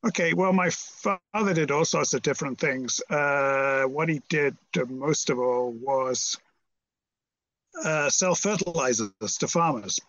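A man's voice: a little high, a little slow, fairly bright tone, no echo, highs cut off. A British man in his seventies from Merseyside, talking reflectively as an interview guest in a hesitant, rambling, understated way. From Merseyside, England. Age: 70s.

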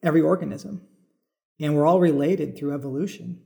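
A man's voice: on the high side, a little fast, gravelly, dark tone. A middle-aged American man giving thoughtful, informal explanations as an interview guest, thinking aloud as he goes. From suburban California, United States. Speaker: podcast guest, biologist turned farmer. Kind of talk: conversational interview answers, explanatory.

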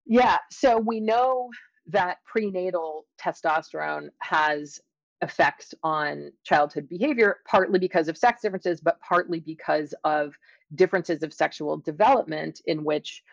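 A woman's voice: sits low, measured pace, vocal fry, dry recording, lo-fi sound. A woman speaking as an expert guest in an interview, explaining science carefully and precisely with qualifications. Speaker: guest, evolutionary biologist and author.

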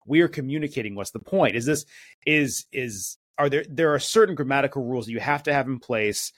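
A man's voice: medium pitch, fairly quick, no echo, studio quality. A man co-hosting a podcast, speaking conversationally with plenty of fillers. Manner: conversational, frequent fillers, says he swears a lot. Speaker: podcast co-host. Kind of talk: casual discussion.

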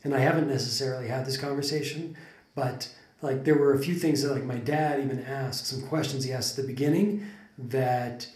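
A man's voice: mid-range voice, steady tone, fairly quick, a little mellow. A man co-hosting a podcast, talking things through thoughtfully with many restarts and fillers. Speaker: podcast co-host. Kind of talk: casual conversation. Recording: roomy echo.

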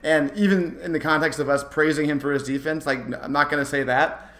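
A man's voice: a little high, steady tone, a little fast, fairly bright tone. A man co-hosting a sports podcast, giving casual, emphatic and opinionated analysis in a roomy space. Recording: roomy, echoey sound.

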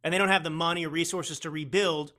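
A male podcast host whose voice sits high for a man and comes across bright. He talks in a sarcastic, mocking tone and rambles with frequent restarts. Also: steady tone, quick pace. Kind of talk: political commentary podcast.

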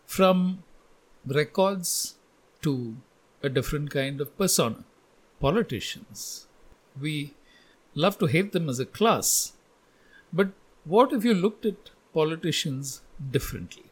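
A man's voice: a little high, animated, slow pace, breathy edge, poor audio. A man hosting a podcast and reading scripted narration. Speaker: podcast host.

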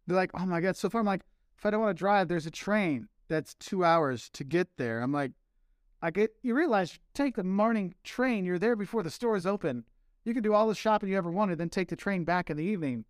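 A man's voice: sits high, fairly quick, clear, resonant tone, dry recording, clean studio sound. An American man, a marketing professor and travel vlogger, telling stories in a casual podcast chat and acting out the voices he quotes. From United States. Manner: animated storyteller, acts out quoted voices.

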